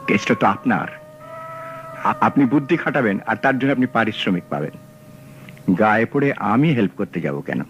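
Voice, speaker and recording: An actor playing a smooth, scheming male book collector in an old radio drama, suave and persuasive. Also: on the low side, expressive, brisk, pauses often, a bit bright, rough recording. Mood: sly. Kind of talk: 1970s radio drama acting. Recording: old radio broadcast with faint hiss.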